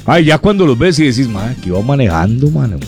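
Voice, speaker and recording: A man hosting a radio comedy show, chatting in a lively way and reading out listener greetings. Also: mid-range voice, fast talker, soft background music. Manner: lively and chatty, breaks off and repeats words.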